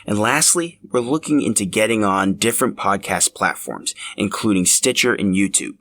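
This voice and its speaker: A man's voice: on the low side, expressive, normal speed, a bit bright. A man narrating a scripted podcast as its host.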